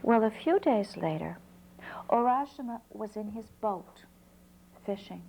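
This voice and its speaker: A woman storyteller narrating a folk tale in an unhurried way, pausing between short phrases. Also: mid-range voice, lively intonation, vocal fry, a little mellow, a little hiss or hum, low-quality recording.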